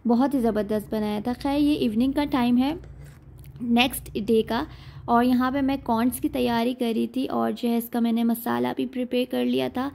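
A woman's voice: a little high, steady tone, moderate pace, creaky, mellow, a little hiss or hum. A young woman, narrating her day in a chatty voice-over and leaning on a lot of "aur jo hai" fillers. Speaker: lifestyle vlogger. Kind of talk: casual voice-over narration of daily life.

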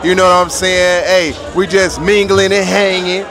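A man's voice: sits high, flat delivery, normal speed, a bit bright, soft background music, some chatter behind. A young man hosting a hip-hop podcast at an event, asking a guest questions in an easygoing, slangy way into a handheld mic. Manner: easygoing questioner, lots of yeah yeah. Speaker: podcast host. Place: event venue lounge, indoors.

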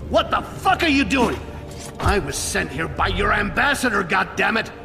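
Old tormented goblin, extremely gravelly, widely swinging pitch, agitated muttering, video game character. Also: male voice, sing-song, moderate pace, over music, heavily acted. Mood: angry. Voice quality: croaky, rasping. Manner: agitated, muttering in broken phrases. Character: tormented foul-mouthed old male goblin, obsessive and bitter.

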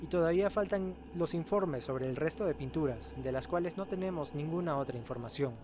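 High-pitched male narrator over loud music. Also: fairly quick. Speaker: narrator of horror analysis video. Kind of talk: scripted narration. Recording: music under the voice.

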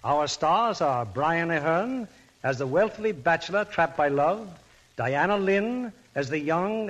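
A man's voice: on the high side, muffled recording. A man hosting a 1940s radio drama broadcast in a polished, genial, scripted way. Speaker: radio show host and director. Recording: old radio broadcast with music.

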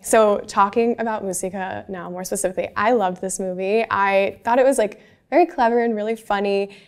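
Young woman interviewer, bit of vocal fry, upbeat and keen. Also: mid-range voice, fairly even intonation, a little fast. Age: young adult. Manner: upbeat, enthusiastic. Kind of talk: casual interview questions. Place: bright studio set, indoors. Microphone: small lapel mic.

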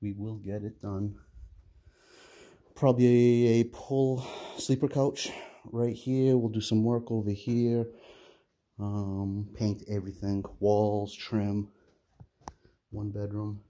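A middle-aged man giving a casual, halting walkthrough of empty condo rooms, filmed on a handheld phone as he walks. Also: fairly low pitch. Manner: relaxed, halting, many "uh" fillers. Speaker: property investor giving a walkthrough. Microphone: phone camera held while walking.

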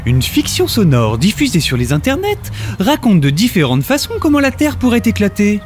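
Man playing an excitable, high-voiced anxious husband. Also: moderate pace, a little hiss or hum. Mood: agitated. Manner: animated, indignant, hesitant. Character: excitable, anxious husband, naive and self-satisfied. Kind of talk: comic audio drama acting.

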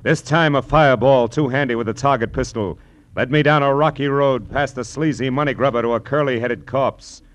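An actor playing a terse, sardonic American private detective in an old radio crime drama. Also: male voice, mid-range voice, fairly quick, close to an everyday voice. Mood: grim, intense. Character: hard-boiled, wisecracking private detective.

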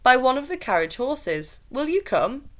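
A man's voice: very high voice, sing-song, a little slow, voice pushed up, full character voice. A woman reading a storybook as a brusque, commanding, menacing army major. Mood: brisk, urgent.

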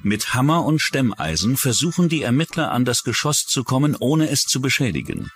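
A man's voice: medium pitch, big pitch swings, brisk, a bit bright, soft background music. A man delivering scripted true-crime narration as a documentary narrator.

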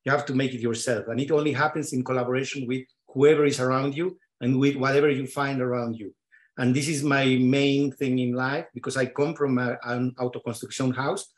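A man's voice: mid-range voice, flat delivery, brisk. Earnest non-native-speaking man panelist. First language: not English or French. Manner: earnest, searching for words. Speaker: panelist, artist. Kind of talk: round-table discussion. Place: large dark stage with audience. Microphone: handheld mic.

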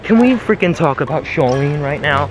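A man's voice: on the high side, animated, normal speed, music in the background, close to an everyday voice, rough recording. Young man putting on a sassy Jersey girl. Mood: dramatic gossip. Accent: put-on New Jersey. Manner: dramatic, complaining, exclaiming. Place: outdoors in sunny woods. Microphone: phone held at arm's length.

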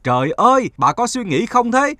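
A man's voice: very high-pitched, sing-song, normal speed, pushed and bright, no echo, studio quality, exaggerated voice. A man playing a hot-tempered middle-aged village husband who scolds emphatically in a cartoon folktale. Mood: exasperated.

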